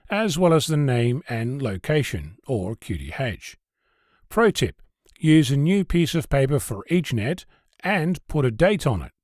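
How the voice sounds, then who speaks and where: A man's voice: mid-range voice, a little mellow, no echo, studio quality. A man hosting a podcast, reading a scripted essay in a conversational way with wry asides. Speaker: host of an amateur radio podcast. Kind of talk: scripted spoken essay, narration.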